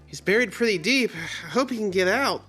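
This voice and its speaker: Comic sidekick, played by a man: high-pitched, piercingly bright, excitable and animated. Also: normal speed, faint music behind. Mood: hopeful. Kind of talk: scripted comedy dialogue.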